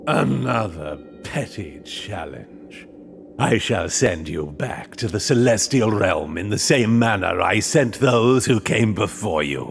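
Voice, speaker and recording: A man's voice: fairly low pitch, animated, slow, vocal fry, faint music behind, exaggerated voice. A man playing a proud, menacing undead knight in a video game, with a courtly, pompous, theatrical delivery. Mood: cold menace. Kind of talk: video game cutscene acting.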